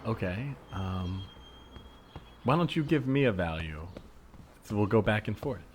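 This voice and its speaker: Man playing an awkward, low-voiced, polite liberal. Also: expressive. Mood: cautious, cooperative. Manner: tentative, halting, diplomatic. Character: awkward, polite, peace-minded city liberal. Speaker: improv comedian. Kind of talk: improvised comedy scene, conversational. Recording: faint noise, added ambient soundscape. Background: faint ambient sound effects.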